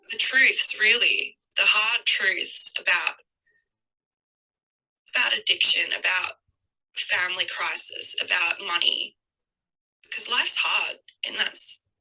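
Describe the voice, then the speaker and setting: A woman in her twenties talking unscripted and from the heart on a call, earnest and effusive, with halting, emphatic pauses. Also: medium pitch, creaky, bright, forceful tone, poor audio. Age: 20s. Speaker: guest on a call.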